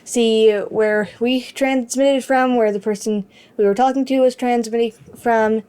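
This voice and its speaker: A woman's voice: a little high, steady tone, unhurried, mellow, clean tone. A woman co-presenting a casual demo, explaining things simply and informally.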